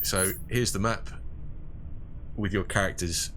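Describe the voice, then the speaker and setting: A game master guiding his players through a sci-fi adventure, speaking in a measured, explanatory way. His voice is fairly low but bright and forceful, and he lays out options with care. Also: a little hiss or hum. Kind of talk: running a sci-fi tabletop game.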